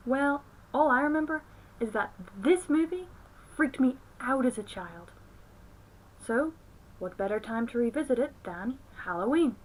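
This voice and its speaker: A woman with a fairly high voice delivers review commentary. She speaks from a script as she talks through a film. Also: lively intonation, measured pace, poor audio. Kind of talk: scripted review commentary with acted intro skit.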